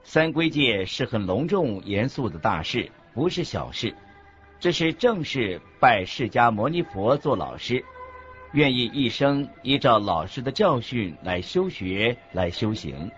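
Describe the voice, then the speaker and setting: Expressive man narrating, dull recording with music. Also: mid-range voice, unhurried. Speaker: narrator of a Buddhist radio lecture. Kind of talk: scripted dramatized religious narration. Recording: dull lo-fi audio with background music.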